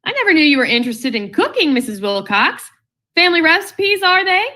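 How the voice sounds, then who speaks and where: A woman's voice: sits high, very animated, brisk, sharp tone, full character voice. A woman playing a sensible, dryly witty housewife in an old-time radio comedy, polite and arch. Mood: polite, curious.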